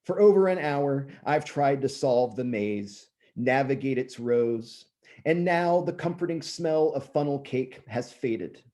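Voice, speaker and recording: A man in his thirties, slightly hoarse and often clearing his throat, reciting his own poems in a measured way and chatting loosely between them. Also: mid-range voice, expressive, warm tone. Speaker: poet reading his own work. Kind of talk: poetry reading with casual asides.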